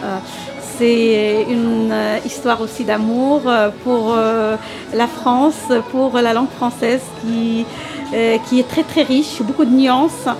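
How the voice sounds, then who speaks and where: A woman's voice: a little high, steady tone, slow, vocal fry, music in the background. A middle-aged woman from Morocco, an Arabic speaker, answering interview questions hesitantly with many fillers. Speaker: language teacher guest.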